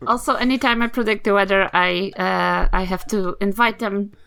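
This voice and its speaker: A woman player casually improvising in a tabletop role-playing game, halting as she thinks aloud. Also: mid-range voice, fairly even intonation, vocal fry, fairly bright tone, clear, resonant tone.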